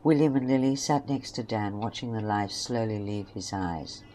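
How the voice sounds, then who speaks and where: Low-voiced woman narrator, noisy recording. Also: moderate pace, mellow. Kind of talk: scripted story narration.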